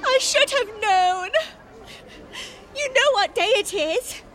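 A woman's voice: extremely high pitch, animated, a bit bright, slight background noise, voice pushed up, full character voice. A woman voicing a tearful, dramatic teenage schoolgirl who is crying. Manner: slow, wailing, tearful.

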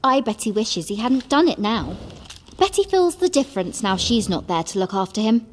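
A woman's voice: a little high, sing-song, normal speed, slight background noise. A woman playing an earnest, argumentative teenage witch in an audiobook drama, speaking insistently. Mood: scornful, indignant. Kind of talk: full-cast audiobook drama.

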